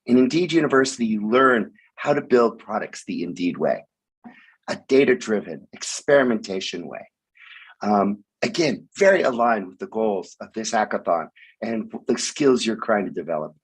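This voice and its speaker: A man speaking hoarsely with a raspy voice while sick with the flu, giving a halting sponsor talk over a video call with many ums. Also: fairly low pitch, expressive, low-quality recording. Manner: halting, frequent ums, occasional cough. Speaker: sponsor speaker at hackathon opening. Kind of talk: online event speech.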